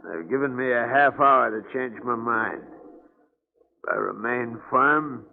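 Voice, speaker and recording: Casting: anguished imprisoned captain, creaky, halting, grave, on a narrow vintage radio recording. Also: male voice, mid-range voice. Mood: grave, weary. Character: weary, fearful captive ship's captain. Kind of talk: 1940s radio drama acting. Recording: muffled, band-limited vintage radio.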